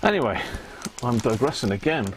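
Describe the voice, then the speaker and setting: A man's voice: medium pitch, very animated, normal speed, background noise. A British man hosting a livestream, chatting casually and colloquially as he thinks aloud. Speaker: livestream host. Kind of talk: casual rambling chat to live viewers.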